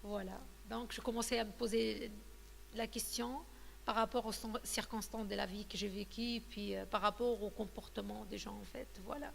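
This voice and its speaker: A woman's voice: medium pitch, fairly even intonation, moderate pace, gravelly, big-room sound, a little hiss or hum. A woman in her thirties from Tunisia, telling her personal testimony in an interview, hesitant and full of fillers and restarts. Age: 30s. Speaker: guest giving a testimony.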